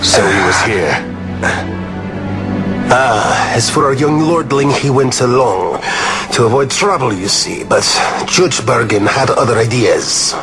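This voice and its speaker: Gallant theatrical nobleman, unhurried, ornate delivery. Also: medium pitch, animated, music in the background. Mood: courtly. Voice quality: grandiloquent, theatrical phrasing. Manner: slow and deliberate. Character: flowery, gallant foreign nobleman. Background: orchestral game score.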